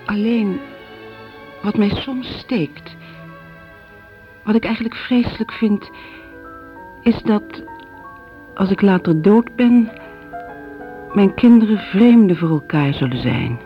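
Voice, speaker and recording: A woman's voice: medium pitch, animated, slow, pauses often. Fretful older Dutch mother. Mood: wistful, pained. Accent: Netherlands Dutch. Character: older mother, fretful, judging, wounded by family rifts. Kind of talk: radio drama monologue. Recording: dull radio recording, faint music.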